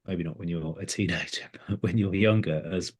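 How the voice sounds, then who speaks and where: A man's voice: sits low, moderate pace, husky. A middle-aged man co-hosting a casual, reflective chat, speaking tentatively and often rephrasing himself. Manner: tentative, self-correcting. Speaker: podcast co-host.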